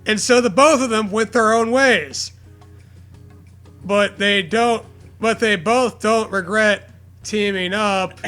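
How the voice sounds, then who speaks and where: Male comedy co-host, high and bright voice, sarcastic, incredulous read-aloud delivery, faint music underneath. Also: slow. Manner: incredulous, sarcastic, foul-mouthed. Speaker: podcast co-host. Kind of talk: comic mocking read-aloud of fan fiction.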